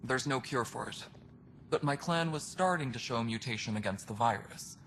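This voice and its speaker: A man playing a gruff, world-weary alien station superintendent in a video game, speaking bluntly with dry sarcasm. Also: medium pitch, moderate pace, fairly bright tone, slight background noise, natural voice. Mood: earnest, sober. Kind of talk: video game cutscene dialogue.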